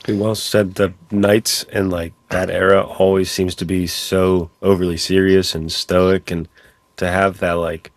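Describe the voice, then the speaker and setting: A man's voice: deep voice, flat delivery, a little slow, no echo. A man hosting a podcast, chatting casually and with enthusiasm about books. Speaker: podcast host. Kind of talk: casual podcast interview chat.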